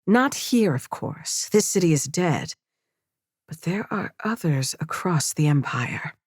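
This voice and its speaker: A woman's voice: sits low, sing-song, a little slow, breathy edge, dry recording, studio quality, heavily acted. A woman playing a cool, pragmatic cultivator adventurer in an audiobook, firm and matter-of-fact. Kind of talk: character acting in an audiobook.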